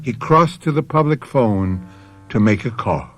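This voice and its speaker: A man hosting and narrating a radio mystery drama in an ominous, theatrical, deliberate manner. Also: mid-range voice, animated, heavy background noise. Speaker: host and narrator. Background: music.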